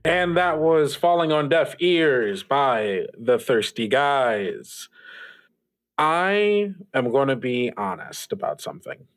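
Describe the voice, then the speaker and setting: A man hosting a podcast, chatting casually and directly to listeners in a rambling, halting, self-deprecating way. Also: mid-range voice, very animated, dry recording, soft background music. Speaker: podcast host and creator. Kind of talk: casual radio-DJ style host chat to listeners.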